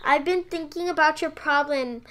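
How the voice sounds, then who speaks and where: A woman's voice: sits high, moderate pace, fairly bright tone. A young female performer playing a friendly, eager little neighbor girl in a radio play.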